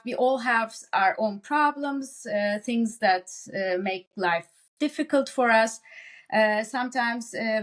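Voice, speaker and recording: A woman with a fairly high voice and a Turkish accent speaks as an expert guest in a conversational interview. She goes haltingly, restarting phrases while she looks for the next word. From Turkey. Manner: halting, searching for words, repeats phrase starts. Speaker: psychiatrist, podcast guest.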